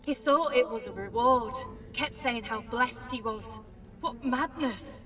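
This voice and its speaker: A woman's voice: fairly high pitch, unhurried, bright, forceful tone. An actress playing a remorseful, troubled woman in a video game. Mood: bitter disbelief. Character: remorseful woman who killed under mind control. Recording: slightly distant, under clear music.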